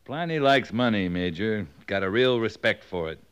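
A man's voice: lowish voice, lively intonation, normal speed, clear, resonant tone, natural voice. A man playing a dutiful American cavalry captain in a 1950s radio western, terse, understated and dry. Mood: dryly concerned. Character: laconic, dutiful cavalry captain who stands up for his men. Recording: muffled old 1950s radio recording.

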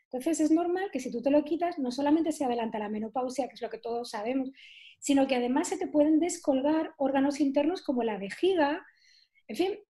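A woman, a nutrition therapist guest, recounts her own experience in a talkative, meandering way. Her voice sits high but sounds warm and soft rather than bright. She leans on fillers and little tag questions as she goes. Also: a little fast. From southern Spain. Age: 40s. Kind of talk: personal storytelling in an interview.

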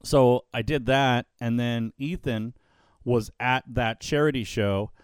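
A man who works as a wrestling ring announcer, telling stories in a casual podcast chat. He has a loud voice and gets animated, often repeating words when he is excited. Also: mid-range voice, takes it slowly, clear, resonant tone, no echo, studio quality.

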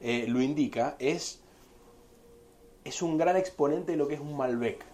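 A man's voice: on the high side, unhurried, dark tone. A man in his thirties with an Argentine Spanish accent, talking about wine in an easy, enthusiastic way in an interview. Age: 30s. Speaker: winery representative. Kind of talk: casual interview chat.

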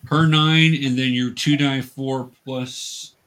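A man's voice: medium pitch, steady tone, takes it slowly, fairly bright tone. A man acting as game master, casually and patiently explaining the rules of a tabletop game step by step.

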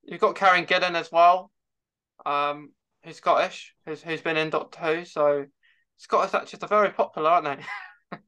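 A man hosting a casual fan chat as interviewer, speaking haltingly with repeated words and false starts. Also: a little high, steady tone, bright, forceful tone, clear, resonant tone. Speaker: podcast host interviewing a guest.

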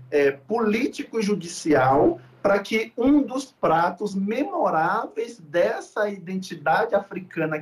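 A man from Salvador, a podcast guest talking in an earnest, reflective way with many fillers. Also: sits high. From Salvador, Bahia, Brazil. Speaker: guest, food researcher. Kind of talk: podcast interview.

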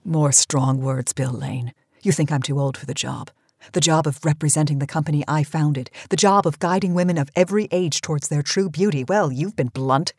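A deep, husky-voiced queen of cosmetics, middle-aged and vain, rules the room with cutting, sardonic commands. The audio drama performance is campy and recorded dry and close. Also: moderate pace, darkish tone, no echo. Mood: icy, offended. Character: imperious aging cosmetics queen, sharp-tongued and vain.

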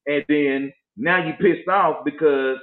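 A man's voice: fairly high pitch, slow pace. A man in his forties talking casually about dating, emphatic and preachy. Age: 40s. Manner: preachy, emphatic, slips into mock voices to make a point. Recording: echoey, tinny phone-like sound.

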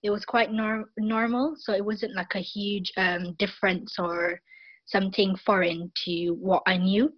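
Barbadian young woman, hesitant and soft-spoken. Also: mid-range voice, steady tone, measured pace, muffled recording, slight background noise. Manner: hesitant, many fillers and restarts. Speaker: guest. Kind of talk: casual interview talk.

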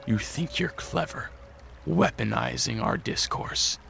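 An actor playing Dracula as a brooding, restrained vampire count in a radio drama, speaking in measured, formal phrases. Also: male voice, mid-range voice, gravelly, a bit bright. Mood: cold, accusing. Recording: music behind the voice.

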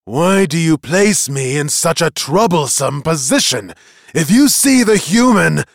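A stern creature magician acted by a male reader growls out threats with angry force. His storybook voice climbs high and swings sharply in pitch. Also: lively intonation, a little slow, creaky, dry recording, studio quality. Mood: angry, threatening.

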